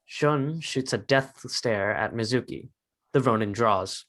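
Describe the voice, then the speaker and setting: A man's voice: medium pitch, slow pace, a bit bright. A man reading stage directions as the narrator of a scripted drama.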